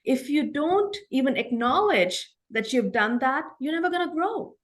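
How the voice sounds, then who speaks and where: A woman's voice: sits high, lively intonation, moderate pace. Earnest Indian-accented woman. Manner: earnest, reflective, often ends points with "right?". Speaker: guest. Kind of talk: conversational interview.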